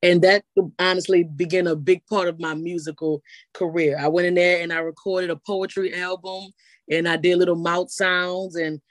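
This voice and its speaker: A woman's voice: on the low side, little pitch movement, moderate pace, dry recording, clean studio sound. A woman, a singer and poet answering interview questions in a loose, rambling way, often restarting her words. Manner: rambling, repeats words when starting.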